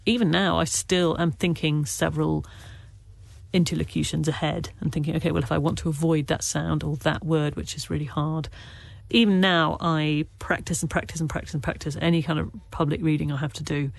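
Halting, gravelly man who stammers. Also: a little high, flat delivery, no room sound. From Wales. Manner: reflective, earnest storytelling. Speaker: author being interviewed. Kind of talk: candid conversational interview.

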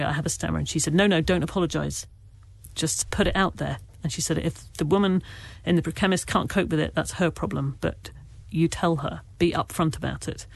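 Guy from wales who stammers, kinda gravelly, tells stuff earnestly, dead dry sound. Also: fairly high pitch, dry recording. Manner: stammerer, halting with restarts; reflective, earnest storytelling. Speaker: author being interviewed. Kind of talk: candid conversational interview.

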